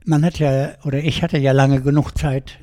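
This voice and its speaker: An older man, a guest in an interview, telling his life story in a lively, colloquial way with many fillers and restarts. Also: medium pitch, normal speed. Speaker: guest adventurer.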